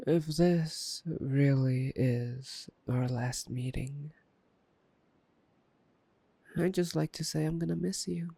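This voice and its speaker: A man playing a taunting, playful, predatory vampire in an intimate ASMR roleplay, teasing and drawling with soft menace. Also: a little high, extremely slow, gravelly, long pauses, no room sound, exaggerated voice. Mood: soft, wistful teasing.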